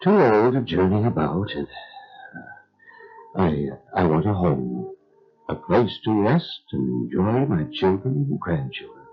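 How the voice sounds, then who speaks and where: Male actor as elderly gentle father, weary, hesitant, very animated pitch, dull retro recording. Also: takes it slowly, breathy edge, heavily acted. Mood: weary, wistful. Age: old. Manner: hesitant, rambling, with repeated words. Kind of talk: biblical radio drama acting.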